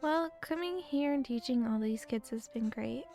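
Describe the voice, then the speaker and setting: A woman reads as a gentle middle-aged immigrant mother, speaking calmly and reflectively, with her voice worn weak. Her voice sits a little high over faint game music. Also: normal speed, lightly acted.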